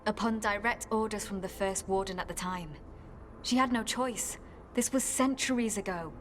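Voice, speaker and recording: A woman's voice: mid-range voice, normal speed, close to an everyday voice. Actress as earnest female ex-warden for a fantasy game, caring and grave, mid-range voice, music underneath. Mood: solemn. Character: earnest former Grey Warden recruit woman, caring and grave. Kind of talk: video game voice acting.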